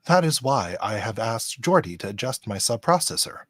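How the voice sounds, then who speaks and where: A man playing a polite, earnest android officer in a fan radio play, speaking with formal, deliberate diction. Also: mid-range voice, sing-song, a bit bright, no room sound. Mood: earnest, explaining.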